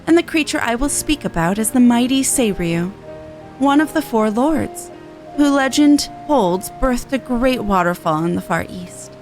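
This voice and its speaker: A woman voicing a courteous, formal storyteller lady who recites old legends in a measured, archaic manner for a fantasy game. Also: fairly high pitch, animated, darkish tone, faint music behind. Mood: solemn, reverent.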